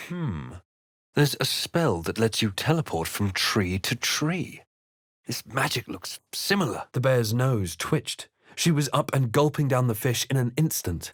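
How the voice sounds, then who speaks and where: Brooding, low-pitched adventurer hero. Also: male voice, no room sound, studio quality. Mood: solemn, reflective. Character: brooding, measured adventurer hero recounting his dark journey. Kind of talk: scripted fantasy game narration and character lines.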